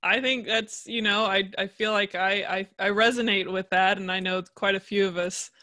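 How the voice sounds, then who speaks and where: A woman's voice: medium pitch, steady tone, normal speed, sharp tone. A woman hosting a podcast in a conversational interviewing style. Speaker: podcast co-host, physician.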